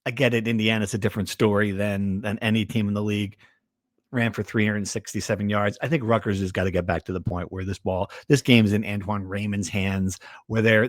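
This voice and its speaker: A man's voice: on the low side, dry recording, studio quality. An American man hosting a podcast with casual, conversational sports talk.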